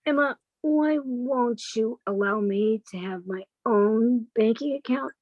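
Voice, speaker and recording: Woman as timid but cunning radio-drama sister, highish pitch, earnest, theatrical, dry close sound. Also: takes it slowly, dry recording, muffled recording. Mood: meek pleading. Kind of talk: old-time radio drama acting.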